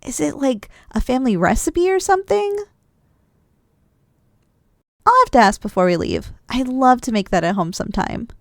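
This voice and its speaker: Drowsy, affectionate wife with a lilting high voice, acted by a woman. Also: animated, normal speed, long pauses, dry recording, clean studio sound. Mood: drowsy, chatty. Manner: soft, affectionate, teasing, with sighs and giggles. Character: affectionate, drowsy, playful wife cuddling after a big dinner. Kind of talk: ASMR roleplay, intimate one-sided dialogue.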